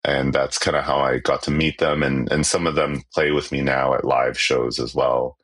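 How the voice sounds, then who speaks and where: A Canadian musician, a native Cantonese speaker, talking casually in an interview with many fillers and restarts. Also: male voice, extremely low pitch, flat delivery, normal speed, fairly bright tone.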